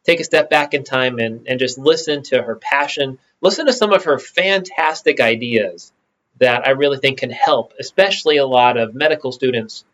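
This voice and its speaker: A man giving an earnest, reflective introduction to a replayed podcast episode, pausing slowly as he speaks. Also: mid-range voice, a bit bright. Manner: earnest, reflective, slow pauses. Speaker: podcast host. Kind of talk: spoken introduction. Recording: dull lo-fi sound.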